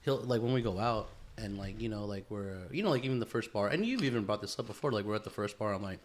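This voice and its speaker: A man's voice: on the low side, animated, fast. A man in his thirties hosting a podcast in casual chat, easygoing and probing, swearing now and then with lots of fillers. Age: 30s. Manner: easygoing, swears, asks probing questions, many fillers and restarts. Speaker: podcast host.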